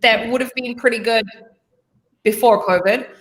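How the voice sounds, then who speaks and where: A woman's voice: fairly high pitch, fairly even intonation, moderate pace. Chatty New woman in an echoey room. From New Zealand. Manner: talkative, rambling, self-correcting. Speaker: host. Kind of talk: casual interview chat.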